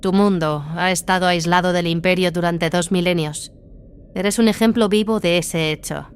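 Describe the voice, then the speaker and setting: Woman acting, low female voice, warrior nun commander, guarded, serious, audio drama, faint music. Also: fairly even intonation, normal speed, clean tone, lightly acted. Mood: wary, challenging. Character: wary, commanding female battle sister squad leader. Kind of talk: dramatized audio fiction dialogue in Spanish.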